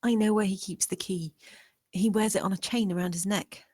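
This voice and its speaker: A woman's voice: medium pitch, steady tone, brisk, warm tone, slightly rough, no echo, studio quality, natural voice. A woman playing a bold, scheming young maid in a screenplay table read. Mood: quietly determined.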